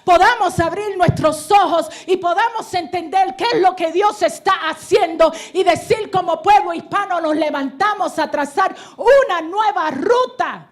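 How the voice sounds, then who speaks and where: A woman from New Jersey giving a preaching testimony at a conference, moving from loud exhortation to hushed whispers. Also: very high voice, unhurried, few pauses. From United States (New Jersey). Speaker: conference speaker. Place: conference hall stage.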